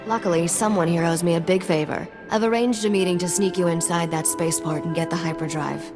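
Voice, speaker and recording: Shrewd female smuggler ally for a game actress: lowish voice, brisk, wry, businesslike, over prominent music. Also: fairly even intonation, lightly acted. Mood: brisk briefing. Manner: matter-of-fact, businesslike, wry. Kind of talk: video game voice acting. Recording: music behind the voice.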